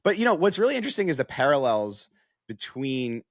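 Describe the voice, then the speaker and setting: A man's voice: medium pitch, big pitch swings, fairly quick, no room sound, studio quality. A man with an American accent giving casual sports analysis as a reporter, thinking aloud in a conversational way. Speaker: sports reporter, show guest.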